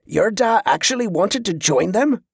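A man's voice: high-pitched, animated, normal speed, creaky, slightly rough, dry recording, studio quality, pitched above the performer's own voice, exaggerated voice. Man voicing a wry, needling camp cook. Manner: muttering, wry, colloquial. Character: sardonic, needling male travelling cook, fond of provoking.

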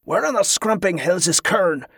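A man's voice: a little high, lively intonation, normal speed, vocal fry, breathy edge, no room sound, clean studio sound, exaggerated voice. A man voicing a furious, imperious nobleman in an audiobook, growling curt, impatient demands. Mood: furious, incredulous.

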